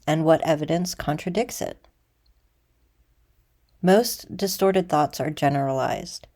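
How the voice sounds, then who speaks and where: A woman leads a guided meditation in a soft-edged, very mellow voice. Her register sits a little low, with a gravelly creak. She gives instructions deliberately, leaving space between phrases. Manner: measured, deliberate, with pauses.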